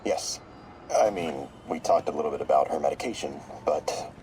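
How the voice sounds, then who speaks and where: An actor playing a shrewd, corruptible therapist in a video game, measured, probing and knowing, with slight noise behind him. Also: male voice, very deep voice, a little mellow, low-quality recording. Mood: wary. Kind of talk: video game voice acting, dramatic dialogue.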